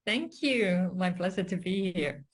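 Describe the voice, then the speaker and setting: Low, slow-speaking Finnish-accented woman. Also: animated. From Finland. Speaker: guest, story coach and spiritual mentor. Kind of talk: personal storytelling in an interview.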